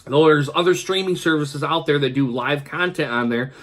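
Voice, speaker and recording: A man's voice: a little high, normal speed, fairly bright tone. A man in his thirties, congested with the flu, talking casually to camera from his kitchen and rambling with many fillers and restarts. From Michigan. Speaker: YouTuber. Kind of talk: casual talking-head vlog. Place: kitchen at home, indoors.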